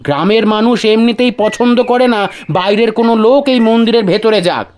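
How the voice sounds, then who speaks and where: A man's voice: high-pitched, normal speed, darkish tone, a little hiss or hum, lightly acted. An actor playing a defiant, irritable village man under suspicion of murder in an audio drama. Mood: defensive, annoyed. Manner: challenging, dismissive.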